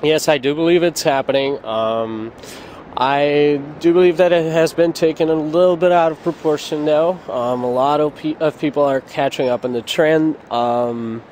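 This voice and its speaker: A man with a slightly high voice answers on the sidewalk, tentative and padded with ums. Faint traffic sits behind him. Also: fairly even intonation, moderate pace, a little mellow. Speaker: passer-by interviewee.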